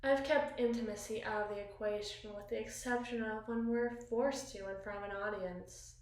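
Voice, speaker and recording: A woman playing a battle-scarred, commanding evil queen in an intimate ASMR roleplay, speaking formally and wistfully with deliberate, theatrical pauses. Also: mid-range voice, fairly even intonation, darkish tone, close to an everyday voice. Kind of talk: ASMR roleplay, slow dramatic monologue. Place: small bathroom, indoors. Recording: echoey tiled bathroom.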